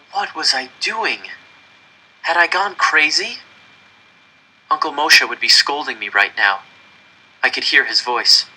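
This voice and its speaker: A man's voice: mid-range voice, sharp tone, slightly rough, rough recording. A man reading a first-person story aloud dramatically, with an earnest, intense and emphatic delivery. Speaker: audiobook reader.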